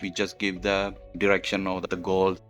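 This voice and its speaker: A man with a low voice answers interview questions, explaining step by step in a measured way and often pausing on fillers. Light music sits faintly behind him. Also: monotone, a bit bright.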